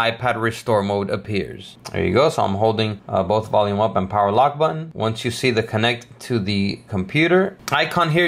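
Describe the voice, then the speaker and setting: A man hosting a tech tutorial, calmly explaining each step of a device reset with frequent fillers, recorded at a desk. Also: mid-range voice. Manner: relaxed, patient, filler-heavy explaining. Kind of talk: step-by-step how-to walkthrough.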